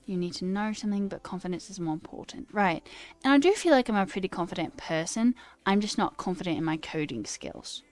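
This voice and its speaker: A woman's voice: lowish voice, sing-song, a little fast, hardly any pauses. A young woman with a put-on British accent, chatting casually on a livestream and reading comments aloud in a self-deprecating, theatrical way, music behind her. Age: young adult. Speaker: live coding streamer.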